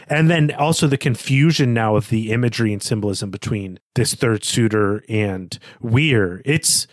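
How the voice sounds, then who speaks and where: A man with a medium-pitched voice co-hosts a book discussion. He speaks conversationally but analytically, setting out ideas in articulate sentences. The sound is dry, with no room echo. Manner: analytical, articulate, poses questions. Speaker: podcast co-host.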